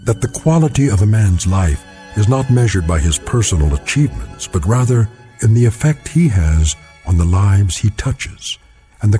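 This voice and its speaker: An actor narrating a dramatic anthology show in a grave, measured, portentous manner. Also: male voice, on the low side, dark tone. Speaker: host narrator. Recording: music behind the voice.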